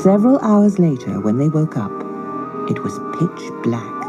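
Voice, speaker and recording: A woman narrating a children's storybook in a measured, expressive, scripted style. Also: low-pitched, dull audio, over loud music. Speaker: audiobook narrator.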